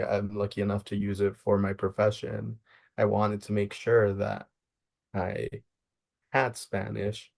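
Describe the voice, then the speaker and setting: Gravelly, flat-voiced young man. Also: on the low side. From Maryland, United States. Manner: earnest, measured, thinks aloud. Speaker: social worker interviewee. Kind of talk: reflective interview answers.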